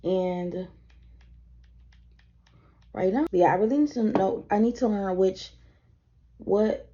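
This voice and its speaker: A tired woman of twenty-one, chatting casually and rambling as she does her makeup at home, her voice a little deeper than usual from tiredness. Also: slow pace, lo-fi sound, noisy background. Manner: rambling, easily sidetracked, swears casually. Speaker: vlogger. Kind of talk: casual get-ready-with-me chat.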